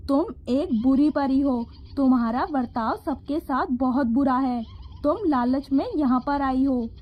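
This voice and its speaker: Lady doing a bossy scolding devil for a fairy cartoon, high pitched, kinda muffled audio. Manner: commanding, scolding. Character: stern punishing devil guarding a magical house. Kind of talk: Hindi fairy-tale cartoon voice acting.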